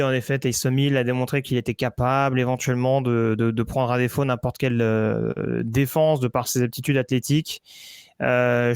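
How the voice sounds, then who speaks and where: A man's voice: mid-range voice, clear, resonant tone, no echo, studio quality. A man giving casual football predictions on a podcast, hesitant, with many fillers and restarts. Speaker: football analyst.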